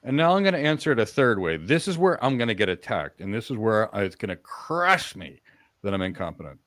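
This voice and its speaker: A man hosting a live-stream career talk, acting out mock job interview answers in a lively, demonstrative way. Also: fairly low pitch, a little fast, dry recording. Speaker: host.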